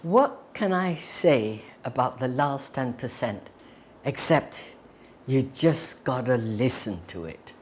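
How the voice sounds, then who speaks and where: A woman's voice: very deep voice, lively intonation, takes it slowly, a little mellow. A woman giving a reflective spoken testimonial.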